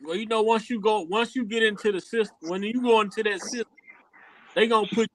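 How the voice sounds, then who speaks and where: A man with a strikingly high voice hosts a casual opinion show. His tone is bright and forceful, and he talks earnestly, circling back on his words as he builds each point. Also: brisk, no room sound, poor audio. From rural Louisiana, USA. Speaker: podcast host.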